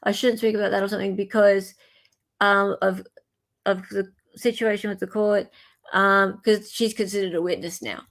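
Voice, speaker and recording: An Australian woman chats casually as a livestream host. Her mid-pitched voice has a marked creak and fry. She muses aloud in an informal, conversational way. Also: steady tone, normal speed. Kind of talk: casual live commentary chat.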